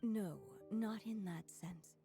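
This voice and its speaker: An actress playing an aging, stubborn and solemn woman writer and alchemist in a video game, speaking in measured, formal, literary phrases. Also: medium pitch, mellow. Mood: earnest, resolute. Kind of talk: scripted video game dialogue. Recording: music behind.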